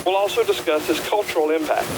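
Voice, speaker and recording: An American man around fifty reads a scripted podcast intro over CB radio. His voice is fairly high, and the AM transmission is clearly noisy. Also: fast talker. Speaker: podcast host.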